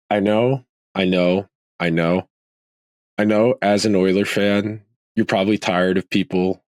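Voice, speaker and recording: A man with a fairly low voice hosts a sports podcast, roughened by a slight rasp. He talks casually and thinks aloud, often circling back to restart a phrase. The sound is dry and close, with no room around it. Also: no room sound. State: slightly raspy from a throat tickle. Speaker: podcast host.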